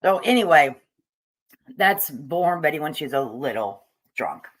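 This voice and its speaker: A woman's voice: low-pitched, sing-song, measured pace. A woman giving casual, opinionated commentary on video clips, with frequent hesitations and fillers. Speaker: commentary YouTuber.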